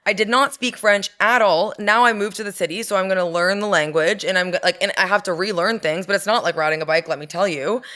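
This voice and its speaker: A young woman in her twenties from Ontario speaks as a podcast guest, in a mid-pitched voice. Her talk is casual and frank, peppered with swearing and quick self-corrections. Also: pushed and bright. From Ontario, Canada. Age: 20s. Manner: frank, profane, with frequent restarts. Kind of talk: casual chat.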